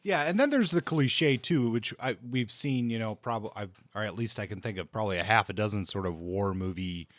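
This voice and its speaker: A man's voice: fairly low pitch, moderate pace, hardly any pauses, dry recording. A man co-hosting a book podcast in casual discussion, thinking aloud and often rewording as he goes. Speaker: podcast co-host.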